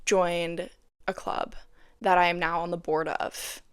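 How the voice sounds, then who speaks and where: A young woman hosting her own podcast, talking casually and sounding tired, with a raspy voice and lots of "like" in her speech. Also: fairly low pitch, little pitch movement, slow, no room sound, clean studio sound. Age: young adult. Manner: chatty, peppered with "like". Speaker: podcast host. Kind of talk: casual solo podcast chat.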